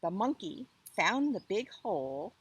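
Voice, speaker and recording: A woman reads a children's story in a husky, expressive voice. She phrases slowly and deliberately for drama, with lively movement in pitch. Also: rough recording.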